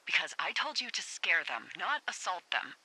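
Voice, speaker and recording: A woman's voice: lowish voice, very animated, normal speed, sharp tone, husky, exaggerated voice, rough recording. An actress playing a wry, teasing middle-aged female fire lookout supervisor in a video game, American, talking playfully and conversationally over a two-way radio. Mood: earnest, reassuring. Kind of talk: naturalistic video game dialogue.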